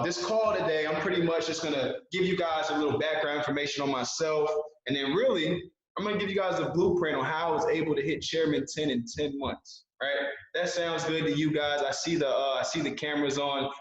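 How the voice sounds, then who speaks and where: Man, 20s, guest speaker on a video call. Earnest motivational delivery, repeats himself for emphasis. Very echoey, dull call audio. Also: a little high.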